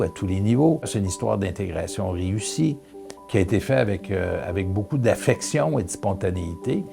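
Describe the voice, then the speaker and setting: A man with a Quebec French accent speaking warmly and proudly in a documentary interview. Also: fairly low pitch, creaky. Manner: warm and proud, emphatic. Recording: guitar music behind.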